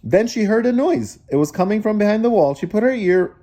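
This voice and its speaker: A woman's voice: medium pitch, expressive, brisk, warm tone. A woman reading a children's story aloud expressively, breaking off for incredulous casual asides. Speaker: storybook reader.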